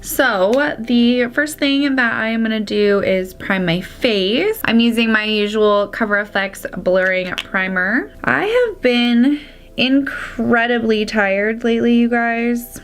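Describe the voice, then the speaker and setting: A young woman with a slightly congested, raspy voice chatting casually through a makeup tutorial. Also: a little high, slow pace. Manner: chatty, drops to soft whispers at times. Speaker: beauty vlogger.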